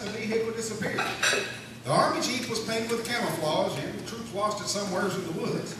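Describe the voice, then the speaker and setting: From a distant mic in a very echoey, noisy room comes a man acting as a folksy small-town radio newsreader. His voice is high for a man. He announces comic news in a cheerful deadpan with homespun asides. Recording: roomy echoing stage, distant mic, noisy.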